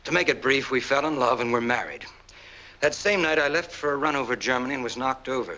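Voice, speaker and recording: A self-assured war veteran in a 1940s noir film. His voice is bright and forward at a middle pitch. He speaks in measured, courteous sentences with cool control, on an old film soundtrack. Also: rough recording. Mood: controlled, persuasive. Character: smooth, self-assured war-veteran husband, calmly explaining.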